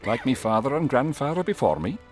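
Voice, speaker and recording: An actor playing a plain-spoken, loyal soldier in a fantasy game, measured and folksy. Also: male voice, medium pitch, big pitch swings, faint music behind, lightly acted. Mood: wary, affable. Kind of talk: video game dialogue acting.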